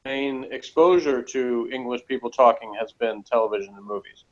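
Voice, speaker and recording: A man's voice: mid-range voice, moderate pace, dull audio. An American man in casual discussion, rambling a little and hesitant, speaking plainly. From United States. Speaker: podcast reviewer.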